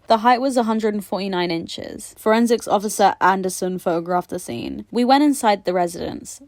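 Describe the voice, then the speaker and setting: A woman reading a formal report aloud in a measured, matter-of-fact way. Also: lowish voice, no room sound, clean studio sound. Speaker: reader of a report.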